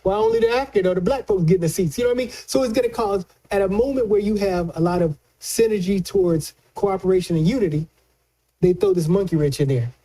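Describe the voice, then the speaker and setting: A man giving conversational political commentary as a news analyst, colloquial and halting, often repeating words as he explains. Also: sits high, animated, dark tone.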